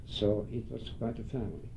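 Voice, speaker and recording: An older man from Norway with a Norwegian accent, a Norwegian native speaker, reminiscing slowly and haltingly in a recorded interview, often pausing and clearing his throat. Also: warm tone. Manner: slow, halting, with frequent pauses and throat clearing. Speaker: oral history interviewee. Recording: dull lo-fi tape with faint rumble.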